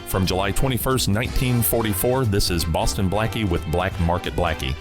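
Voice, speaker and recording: Casting: male radio host, low voice, scripted intro delivery over a clear music bed with guitar. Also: brisk.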